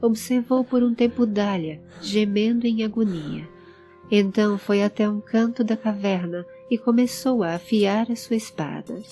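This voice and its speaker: A woman's voice: medium pitch, normal speed, warm tone. A woman narrating a scripted audio drama. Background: background music.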